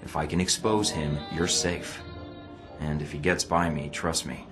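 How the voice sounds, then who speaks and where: Male for a cool, sardonic game spy: very low voice, controlled and terse, over prominent music. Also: flat delivery, a little slow. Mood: firm, persuasive. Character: cool, guarded, dry-witted male spy operative. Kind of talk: video game dramatic dialogue. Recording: music playing behind the voice.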